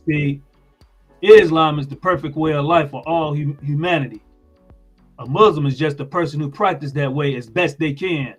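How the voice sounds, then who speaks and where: Higher-voiced American man explaining casually. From United States. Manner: informal, plain-spoken explaining. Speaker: guest.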